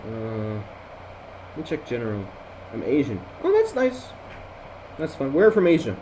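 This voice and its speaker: A man's voice: mid-range voice, wildly swooping pitch, unhurried, mellow, a little hiss or hum, low-quality recording. A young man from Jordan with an American accent, rambling casually as a student lecturer on an online voice call. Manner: chatty, rambling, with many fillers and restarts. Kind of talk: casual online review session. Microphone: computer mic.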